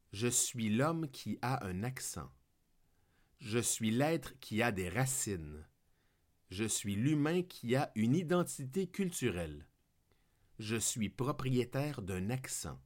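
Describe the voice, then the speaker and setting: Man, Québécois French accent, podcast host, scripted comic monologue, playful declamatory delivery, very animated pitch. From Quebec.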